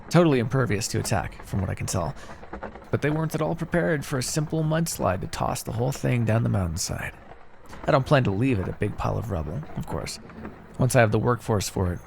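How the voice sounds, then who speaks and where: A man's voice: mid-range voice, creaky, darkish tone, long reverb tail, a little hiss or hum. A man playing a pragmatic, chatty necromancer in a casual, wry ASMR fantasy roleplay. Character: pragmatic, chatty male necromancer, matter-of-fact and ambitious. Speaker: roleplay voice actor. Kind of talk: ASMR fantasy roleplay, one-sided dialogue.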